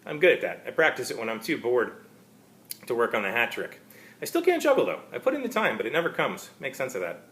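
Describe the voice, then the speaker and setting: A man narrates a first-person story as an amateur reader. His delivery is halting and wry. His pitch varies widely, giving the sentences a lot of movement. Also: huge pitch swings, fairly bright tone. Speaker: author reading his own story.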